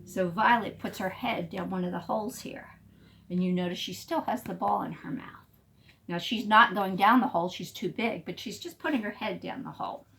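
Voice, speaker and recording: A woman reading a picture book aloud to young children as a teacher, expressive and pausing to explain things. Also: mid-range voice, a little hiss or hum. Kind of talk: animated read-aloud storytelling.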